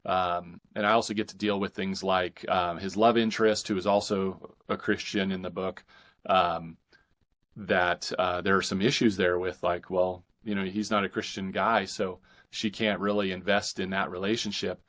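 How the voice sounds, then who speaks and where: A man speaking as an author guest in a casual interview, haltingly, thinking aloud and repeating phrases as he goes. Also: low-pitched, little pitch movement, a bit bright. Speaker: podcast guest, fiction author.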